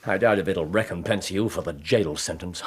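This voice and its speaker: An actor playing a sharp, cool-headed detective who speaks with brisk authority in a radio drama. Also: male voice, low voice, breathy edge. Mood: stern. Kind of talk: BBC radio drama acting.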